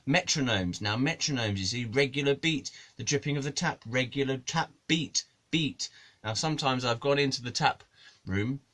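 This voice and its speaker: A man talking straight to camera in a loose, digressive comic ramble. He jokes, swears and chuckles at his own asides, and his voice sits at an ordinary middle pitch for a man. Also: normal speed, fairly bright tone. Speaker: musician and video maker.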